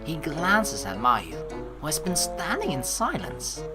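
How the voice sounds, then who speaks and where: A woman narrating a game playthrough, with animated, jokey asides and some swearing. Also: on the low side, measured pace. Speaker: let's play streamer. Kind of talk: playthrough narration with casual reactions. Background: background game music.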